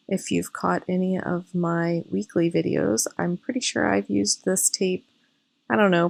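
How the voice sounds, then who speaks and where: A woman in her thirties narrates a crafting session in a relaxed, chatty voice-over. Her voice is hoarse, pitched a little low and full of gravelly fry. She explains each step as she goes and is quick to point out her own mistakes, recorded close and dry with no room sound. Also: no room sound, rough recording. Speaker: planner vlogger.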